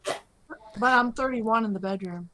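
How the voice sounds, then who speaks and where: A woman's voice: on the high side, a little slow, a loud crowd, low-quality recording. A woman in her fifties telling cheeky, suggestive stories in a casual group voice chat, laughing as she teases. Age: 50s. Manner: flirty, giggly teasing storyteller.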